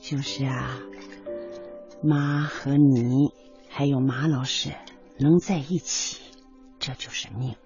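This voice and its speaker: A woman's voice: very deep voice, steady tone, slow, long pauses, darkish tone, husky, heavily acted. A woman acting a careworn, devoted middle-aged mother in a radio drama, speaking in an earnest, plaintive, homely way. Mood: tender, grave. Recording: dull radio drama recording, music bed.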